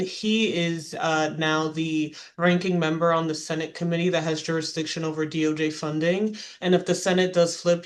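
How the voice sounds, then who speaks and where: A man on a panel giving an informal, matter-of-fact expert explanation as a policy advocate. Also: fairly high pitch.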